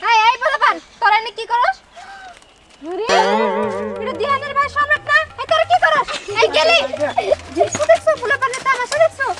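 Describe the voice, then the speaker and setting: A woman's voice: very high voice, fairly quick, music in the background. A woman playing an exasperated, nagging young friend in a comic short drama, full of rhetorical questions. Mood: scolding. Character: exasperated, scolding young friend of a sleepy family. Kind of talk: acted dialogue in a Bangla comic short drama.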